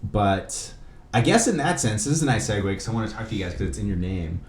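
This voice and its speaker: A man's voice: lowish voice, sing-song, fast talker. A man hosting a casual podcast interview, talking in slangy, filler-heavy chat with the odd swear word. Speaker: podcast host. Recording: roomy echo, clear background noise.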